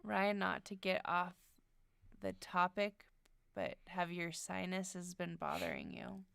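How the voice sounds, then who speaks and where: A woman co-hosts a casual chat show, reading viewer questions aloud. Her voice is low and heavily gravelly with vocal fry, and she pauses often on "um.". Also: animated, a bit bright, no room sound. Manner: hesitant, with many "um"s.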